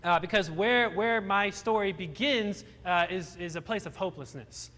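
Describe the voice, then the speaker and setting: Bright-voiced young man speaking in a hall. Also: sits high, normal speed. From Romania. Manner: humorous, self-deprecating, frequent restarts. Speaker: motivational speaker. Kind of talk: storytelling talk to a live audience. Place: large hall with audience.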